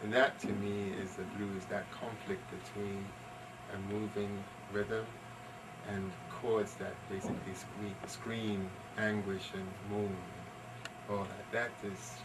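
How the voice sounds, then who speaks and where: A man with a fairly low voice talks reflectively to a small audience, with halting phrasing. He is far from the microphone in a very noisy live recording. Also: little pitch movement. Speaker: pianist and discussion leader. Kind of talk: thoughtful informal talk to an audience. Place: live event in a library room. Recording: distant, very noisy room recording.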